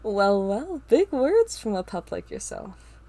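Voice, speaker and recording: A woman voicing a cold, power-hungry female villain who speaks in a sneering, flat tone. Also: medium pitch, takes it slowly.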